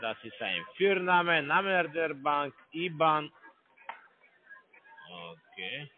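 A man on a phone call, speaking in halting, broken German full of fillers and restarts. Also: on the high side, sing-song. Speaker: phone scammer caller. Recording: tinny phone line.

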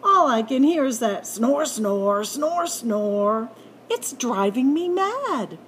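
A woman's voice: high-pitched, sing-song, slow pace, a little hiss or hum, full character voice, low-quality recording. A woman voicing a long-suffering, grumpy but helpful mole in a children's storybook, speaking emphatically. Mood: fed up.

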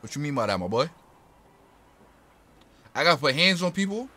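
A man's voice: on the high side, big pitch swings, normal speed, bright, forceful tone. An American man doing casual, slangy gameplay commentary, swearing and brashly reacting to the game. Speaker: gamer streamer. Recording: game sounds behind the voice. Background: game ambience and horse hooves.

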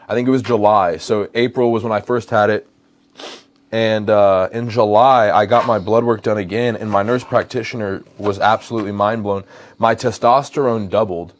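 A man in his thirties with an American accent, chatting conversationally in a casual vlog and repeating phrases for emphasis. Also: medium pitch. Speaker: lifestyle vlogger. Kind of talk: casual vlog chat while cooking. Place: home kitchen, indoors.

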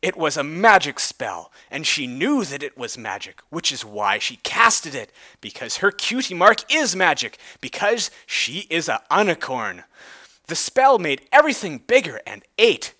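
A man's voice: on the high side, sing-song, measured pace, pushed and bright, dry recording. A man giving a theatrical, mock-serious dramatic reading of a storybook-style tale. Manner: theatrical, mock-serious delivery of clumsy prose. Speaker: reader.

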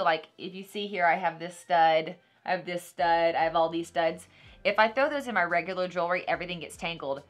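Woman in her thirties, Texan accent, bright forceful voice, exclamatory, casual unboxing host, light music underneath. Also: lowish voice. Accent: Texan American. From Texas, United States. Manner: animated, gushing, exclamatory. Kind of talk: casual product unboxing chat. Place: small decorated room at a table, indoors.

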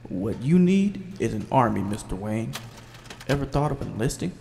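Casting: male actor for gravel-voiced tech expert giving dry advice, audio play, reverberant with background noise. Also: medium pitch, big pitch swings, normal speed, warm tone. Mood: dry, advising. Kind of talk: audio drama acting. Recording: noisy ambience with long reverb.

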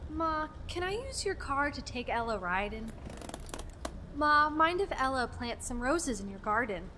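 A woman's voice: high voice, big pitch swings, measured pace, pushed and bright, slight background noise, full character voice. A woman playing an eager, naive young husband with a folksy, coaxing manner in a radio drama. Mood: cheerful, pleading.

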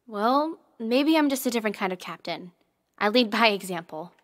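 An actress playing a stubborn, self-doubting young starship ensign in an audio drama, with a casual, blunt, questioning way of talking. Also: female voice, fairly high pitch, animated, normal speed, dry recording, studio quality, natural voice. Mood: defensive.

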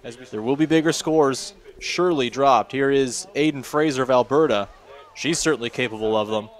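A man's voice: medium pitch, brisk. A man doing live play-by-play commentary at a ski event, steadily reading out scores and tricks. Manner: steady, informative, reads out scores.

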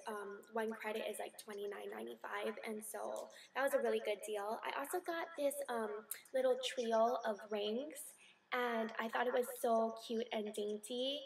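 Young woman, bubbly, chatty, fillers, fairly high voice, slightly distant camera mic. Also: gravelly, a little hiss or hum. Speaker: beauty vlogger. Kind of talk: casual haul video chat.

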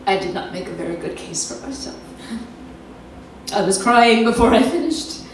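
An actress performing a theatrical monologue as a lonely, nervous, confined wife slipping into obsessive madness, halting and dramatic with drawn-out words. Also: female voice, on the high side, animated. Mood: weary, wistful, then strained, confiding. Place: live performance in a cafe venue. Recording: very echoey room, distant mic, noisy.

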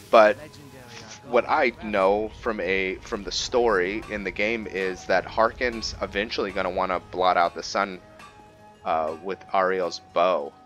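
A man with a low voice narrates his roleplay playthrough casually. He speaks in halting pieces, thinking aloud, with faint game music underneath. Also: sharp tone. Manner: hesitant, pausing mid-sentence as he thinks aloud. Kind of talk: casual let's play commentary.

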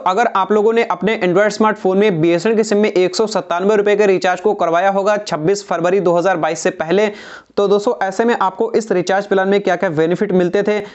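A man's voice: sits high, muffled recording. A young man talking to the camera about phone recharge plans, often calling his viewers "friends", with a clip-on mic in a small indoor room. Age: young adult. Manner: repetitive, keeps saying "friends", steady explanatory delivery. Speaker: tech channel host. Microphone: clip-on lapel mic.

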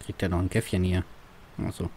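A man's voice: low voice, level tone, brisk, darkish tone, slight background noise. A man, roleplaying a farmer and muttering grumbling commentary to himself. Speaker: farmer roleplayer, let's player.

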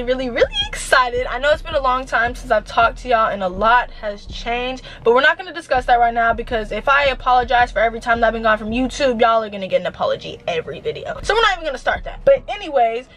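A young woman, hoarse from losing her voice, chatting animatedly to a handheld camera in an empty apartment. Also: a little high. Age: young adult. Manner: animated, exclaims and repeats words for emphasis. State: hoarse, lost voice. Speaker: vlogger. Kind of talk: casual vlog chat to camera. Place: empty apartment bedroom, indoors. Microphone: handheld camera at arm's length.

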